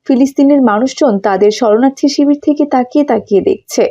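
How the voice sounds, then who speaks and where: A woman's voice: high-pitched, quick pace, mellow, highs cut off. A woman narrating a scripted cartoon story.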